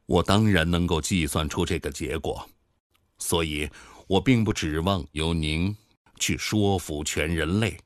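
A man playing a calm artificial intelligence from the future in a science-fiction radio drama, speaking in a measured, formal, deliberate way. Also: low-pitched, no room sound, studio quality. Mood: composed.

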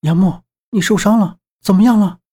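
Male narrator as distraught young woman, raised pitch, choked, urgent, pleading, audiobook drama. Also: drawn-out words, fairly bright tone, breathy edge, heavily acted. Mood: alarmed. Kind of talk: audiobook character voice.

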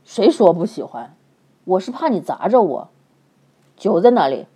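A woman voicing an impatient young swordsman who talks loudly and bluntly. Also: medium pitch, sing-song, normal speed, breathy edge. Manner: loud and blunt.

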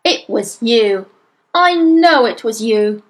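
A woman's voice: fairly high pitch, animated, takes it slowly. A woman voicing a huge, furious, bullying headmistress in a story, roaring accusations and insults. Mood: accusing rage.

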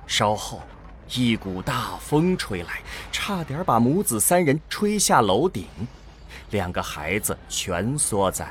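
A man's voice: fairly low pitch, big pitch swings, slow, a little hiss or hum. A man narrating an audio drama from a script in a grave, dramatic manner.

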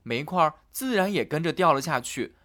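A man narrating a fairy tale for children in a gentle, sing-song storytelling manner. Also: a little high, animated, a little fast.

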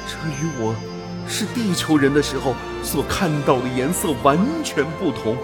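An actor playing an awestruck space explorer in a radio drama, halting and full of wonder. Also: male voice, mid-range voice, expressive, loud music behind the voice, close to an everyday voice. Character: awestruck space explorer newly transformed into an alien body.

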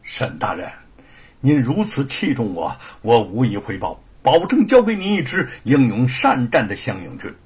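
Guy doing a loyal blunt old militia man, super animated, gravelly, historical tale, impassioned. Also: mid-range voice, unhurried, exaggerated voice. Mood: resolute. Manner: impassioned, emphatic. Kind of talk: dramatised Chinese historical storytelling.

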